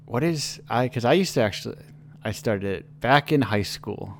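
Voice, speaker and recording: A man's voice: mid-range voice, animated, moderate pace. A young man hosting a podcast, chatting casually over a call and asking loose, rambling questions. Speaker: podcast host.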